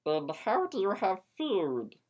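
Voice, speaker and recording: A woman's voice: mid-range voice, wildly swooping pitch, slow, a little mellow, exaggerated voice. A woman voicing a polite, puzzled young heartthrob man in an amateur fanfiction reading.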